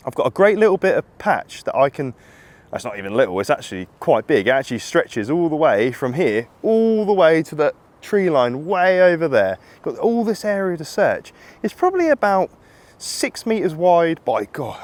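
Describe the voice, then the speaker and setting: A man with a husky voice from a cold, chatting casually while metal detecting in an open field outdoors. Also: a little high, very animated, moderate pace. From England. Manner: chatty, rambling, upbeat, laughs often. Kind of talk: casual outdoor vlog chat.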